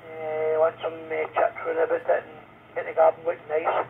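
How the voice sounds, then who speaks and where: Over a narrowband radio link with faint noise comes a Scottish man chatting casually. His voice is fairly high and gravelly, like a ham operator passing the time on air. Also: monotone, moderate pace. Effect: heard through a two-way radio. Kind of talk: casual two-way radio chat.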